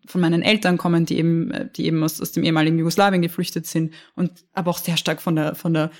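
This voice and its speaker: A woman's voice: sits low, flat delivery, fast. A young woman from Austria, a lawyer talking candidly as an interview guest, often restarting and repeating words. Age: young adult. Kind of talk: casual interview conversation.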